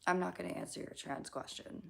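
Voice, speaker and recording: A young woman chatting casually on a livestream, answering chat questions bluntly with lots of fillers and swearing. Her throat is hoarse and scratchy from allergies, and she often drops into a whisper. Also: fast talker, a bit bright. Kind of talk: casual live-stream chat.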